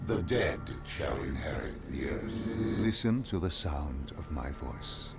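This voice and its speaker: A man's voice: a bass voice, expressive, slow pace, heavily acted. A man playing a mysterious doctor who guides souls between life and death, solemn and commanding, in a video game. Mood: ominous. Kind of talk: cinematic video game acting. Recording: music behind the voice.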